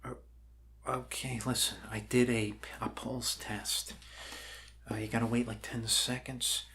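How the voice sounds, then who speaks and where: A man performs an ASMR roleplay as an unconventional, awkward doctor. He talks softly at a middling pitch, in a rambling, hesitant way with frequent apology and reassurance. Faint background noise sits behind the voice. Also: level tone, unhurried. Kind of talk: ASMR roleplay, improvised soft talk.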